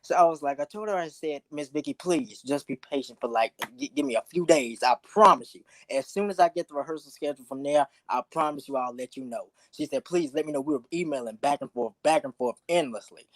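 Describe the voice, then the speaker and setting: A man's voice: fairly high pitch, lively intonation, fairly quick, hardly any pauses, no room sound. A man speaking as a guest actor in casual, earnest conversation, telling things emphatically. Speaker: stage actor, show guest.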